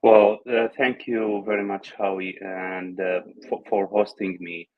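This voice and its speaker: Low-voiced man, halting and earnest. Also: little pitch movement. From Syria. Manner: halting, with many restarts and repeated words. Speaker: guest. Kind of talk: conversational interview. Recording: dull lo-fi audio.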